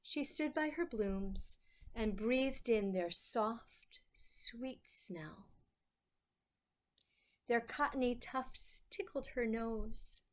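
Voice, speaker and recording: A woman with a slightly high voice reads a children's story aloud. She takes her time, pausing between short phrases and giving the words expression. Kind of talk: slow, expressive picture-book read-aloud.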